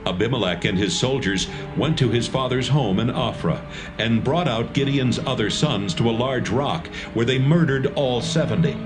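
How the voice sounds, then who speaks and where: A man narrating a dramatised Bible reading in a steady, measured storytelling manner. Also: on the low side. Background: music score.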